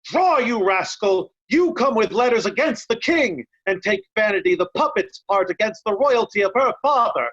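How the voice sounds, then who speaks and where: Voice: medium pitch, animated, normal speed, few pauses, a bit bright, highs cut off. An actor playing a blunt, hot-tempered old loyalist in Shakespearean reader's theatre, scornful and emphatic, piling up insults. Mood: furious shouting.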